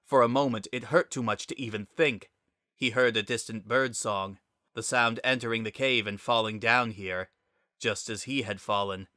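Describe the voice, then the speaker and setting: A man's voice: medium pitch, normal speed, pushed and bright, no echo, studio quality. A man reading a scripted story aloud as a dramatic narrator.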